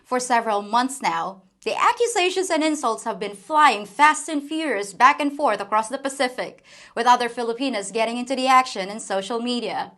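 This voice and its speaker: A woman from the Philippines hosts her commentary channel in a fairly high voice. She reads a scripted response with a wry, playfully sarcastic edge. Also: lively intonation, normal speed, bright, forceful tone.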